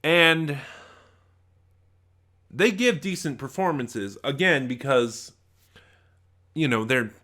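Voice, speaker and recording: A man giving a casual, unscripted review to viewers, halting and rambling as he often trails off mid-thought. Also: mid-range voice, animated, sharp tone. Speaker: YouTube reviewer.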